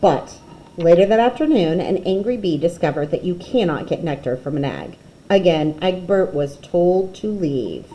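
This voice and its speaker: A woman reading a children's picture book aloud expressively, with dramatic pauses and emphasis. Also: lowish voice, lively intonation, warm tone, a little hiss or hum, low-quality recording.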